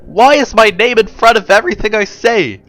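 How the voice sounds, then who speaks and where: A man acts out an indignant, foul-mouthed pony in a story reading. The voice is blunt and emphatic, bright and forceful, with pitch that jumps around as he defies everyone. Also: expressive, normal speed, faint music behind. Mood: baffled. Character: defiant, foul-mouthed male pony, irritated by pompous arbiters.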